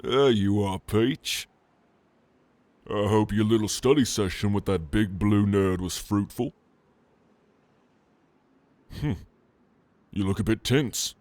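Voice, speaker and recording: A man's voice: fairly low pitch, takes it slowly, long pauses, darkish tone, no room sound. A man voicing a cheeky, brash orc barbarian who flirts and teases casually in an ASMR roleplay. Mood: flirty teasing. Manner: teasing, playful, casual.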